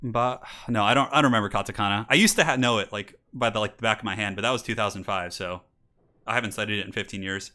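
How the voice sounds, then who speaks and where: Bright-voiced chatty male streamer. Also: fairly low pitch, fast. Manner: chatty, reads donations aloud, laughs often; rises to hyped casting for match intros. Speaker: streamer and tournament caster. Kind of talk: casual live-stream chat and game commentary.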